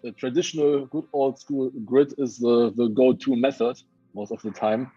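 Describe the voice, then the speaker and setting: A man from Hungary speaks with a Hungarian accent, pausing and restarting often as he hunts for the right word. His voice is mellow and dark, recorded dry, with slightly dull, lo-fi sound from a remote call. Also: mid-range voice, steady tone, slightly rough, no room sound, faint music behind. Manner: halting, searching for words, many restarts. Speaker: guest muralist. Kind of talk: casual interview chat. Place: home, remote video call.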